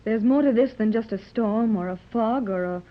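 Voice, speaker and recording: An anxious, well-to-do mother played by a woman in a 1930s radio adventure. Her fairly high voice is earnest and pleading, with dramatic delivery. The sound is dull and old. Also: moderate pace, close to an everyday voice. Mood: hopeful, insistent. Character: anxious, hopeful wealthy mother searching for lost daughter. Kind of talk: 1930s radio serial acting.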